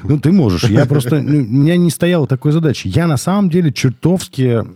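A man's voice: fairly high pitch, animated, brisk, mellow, husky, dry recording, studio quality. A man hosting a podcast in casual, colloquial, worked-up talk, congested with a cold. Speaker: podcast host.

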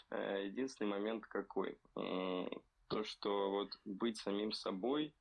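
A young man co-hosting a casual podcast from a remote setup, talking slowly and hesitantly with many fillers while slightly ill. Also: fairly low pitch, dull audio. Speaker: podcast co-host. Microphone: remote recording.

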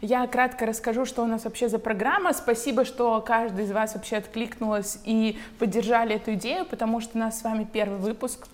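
A woman hosts a studio talk show with a slightly raised voice. She presents in an upbeat, measured way, guiding the conversation along. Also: on the high side, fairly even intonation. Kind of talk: show introduction and moderating.

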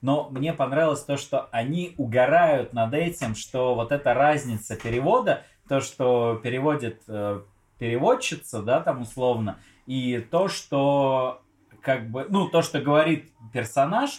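A man in casual conversation, swearing a lot and retelling scenes with energy. His voice sits at a middle pitch. The room around him is echoey. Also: measured pace. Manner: animated, swears freely, retells scenes with quoted lines. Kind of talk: casual chat.

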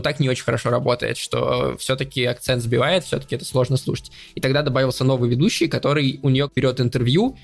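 A young man as a podcast guest, explaining his plans at length in a measured, casual way. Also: medium pitch, gravelly. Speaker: podcast platform editor-in-chief, guest.

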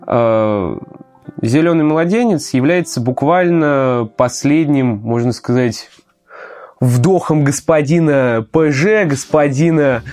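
A man's voice: on the high side, slow pace, darkish tone, soft background music. Man retelling anime plot on a podcast. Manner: explains the plot, hesitant fillers, swears. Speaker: podcast co-host. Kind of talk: casual chat about anime.

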